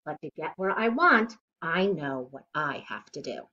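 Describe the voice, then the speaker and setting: A middle-aged woman reads a rhyming children's picture book aloud in a deliberate, expressive way, recorded at home on a device mic. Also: deep voice. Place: living room at home, indoors. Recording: dull lo-fi webcam sound.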